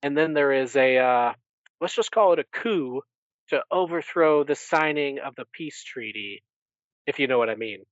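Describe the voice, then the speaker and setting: A man podcast host giving a casual recap in an animated storytelling way. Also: medium pitch, normal speed, no room sound, muffled recording.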